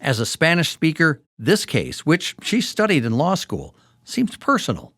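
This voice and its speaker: A man hosting a history podcast, narrating in a clear storytelling manner. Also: fairly high pitch, wildly swooping pitch, a little slow, a bit bright, dry recording, clean studio sound. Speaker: podcast host. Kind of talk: scripted documentary narration.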